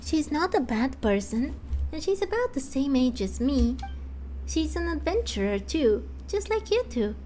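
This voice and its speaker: A woman's voice: high voice, sing-song, unhurried, mellow, a little hiss or hum, low-quality recording. A woman voicing a chatty, self-assured little girl from a game, telling stories in a confiding, matter-of-fact way. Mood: confiding, amused. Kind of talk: fan voice-over of game dialogue.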